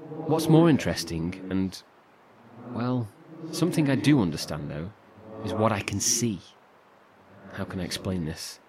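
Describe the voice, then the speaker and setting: A man's voice: sits low, lively intonation, a little slow. A man playing someone trapped inside a rift who talks anxiously and earnestly about his brother. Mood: worried. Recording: noisy recording.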